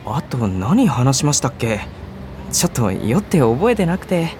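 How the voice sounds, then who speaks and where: A woman's voice: a bass voice, big pitch swings, normal speed, a little mellow, a little hiss or hum. A woman playing a young male junior office worker, polite, earnest and oblivious, in a radio drama. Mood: apologetic, puzzled. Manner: polite casual junior speech, eager.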